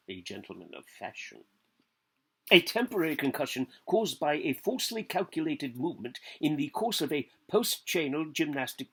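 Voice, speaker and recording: A man reading literary prose aloud like a stage actor, declamatory and deliberate, lingering over lists and pausing between phrases. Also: mid-range voice, husky, poor audio.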